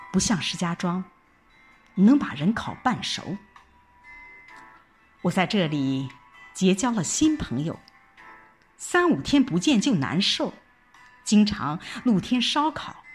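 A woman narrating a scripted first-person story in a measured, expressive storytelling style. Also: medium pitch. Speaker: audio drama narrator.

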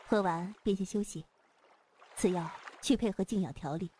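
A woman's voice: mid-range voice, normal speed, dark tone, a little hiss or hum, natural voice. A woman playing a commanding, self-assured female martial sect leader in a Chinese wuxia audio drama. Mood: composed, caring. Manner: imperious, self-assured, clipped orders.

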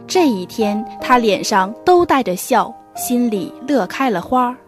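High-voiced young female drama narrator. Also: big pitch swings, a little slow. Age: young adult. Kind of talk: scripted storytelling narration. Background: music.

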